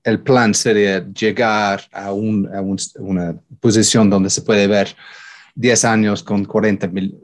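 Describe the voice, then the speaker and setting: Lower-pitched male interviewer, non-native English speaker, halting and searching for words. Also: flat delivery. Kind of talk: casual interview.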